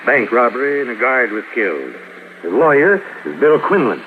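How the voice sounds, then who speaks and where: Actor as a dry radio detective, lively inflection. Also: male voice, mid-range voice, natural voice. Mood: pressing, animated. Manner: clipped, unhurried, dry questioning. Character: gruff, wry, steady male police detective lieutenant. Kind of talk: 1950s radio drama acting. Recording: noisy, phone-like vintage radio recording.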